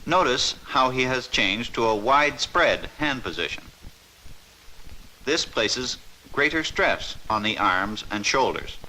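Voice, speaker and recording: Bright-voiced man narrating a vintage training film. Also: medium pitch, lively intonation, slight background noise, low-quality recording. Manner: formal, measured, instructive. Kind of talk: scripted 1950s instructional film narration.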